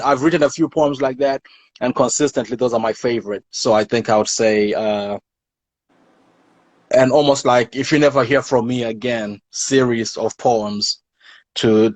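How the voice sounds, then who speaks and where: A man from Zimbabwe, a poet guest on an interview show, speaking reflectively and often pausing to search for the right words. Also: medium pitch, dry recording. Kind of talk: casual interview talk.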